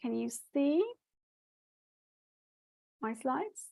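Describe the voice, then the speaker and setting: Creaky-voiced woman presenting over video call. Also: sits high, animated, drawn-out words, warm tone. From Nepal. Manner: hesitant, many fillers and restarts. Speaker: academic presenter. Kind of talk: conference presentation.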